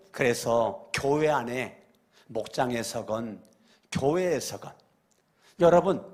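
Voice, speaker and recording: A male pastor preaching a church sermon, repeating phrases and asking the congregation questions, moving between loud exclamations and quiet asides. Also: on the high side. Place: church sanctuary during a weekday service.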